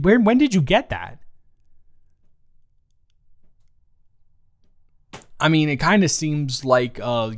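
A man playing a flustered, caring young best friend in an improvised romantic audio roleplay, talking haltingly and teasingly. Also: medium pitch, close to an everyday voice. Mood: flustered, admiring. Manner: hesitant, halting, conversational, teasing.

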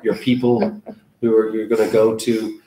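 A man's voice: fairly low pitch, little pitch movement, a little slow, warm tone. A man moderating a webinar panel conversationally. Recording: roomy, echoey sound.

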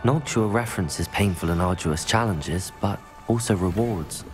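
A man as a composed, low-voiced young wizard. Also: normal speed, lightly acted. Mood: pensive. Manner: composed, polite. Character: calm, persuasive young wizard student, diplomatic and curious. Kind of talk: dramatic game dialogue. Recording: music under the voice.

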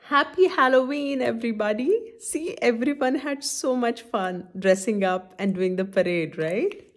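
A middle-aged woman hosting a children's story time, reading a picture book aloud in an instructive, expressive way and asking the young listeners questions. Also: on the high side, measured pace. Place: indoor room at home, by a window.

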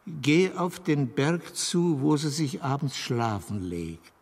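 Fairy-tale Moon for radio drama: gravelly, fairly high, patient and explanatory. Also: male voice, sing-song, a little mellow, full character voice. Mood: patient, helpful. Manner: deliberate, explanatory, with weighty pauses.

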